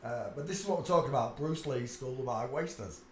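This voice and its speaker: A man's voice: medium pitch, fast, a little hiss or hum. A man hosting his channel, talking insistently in a casual, rambling monologue while going through pictures, with many asides and "uh"s. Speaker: channel host. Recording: echoey room with faint ambience.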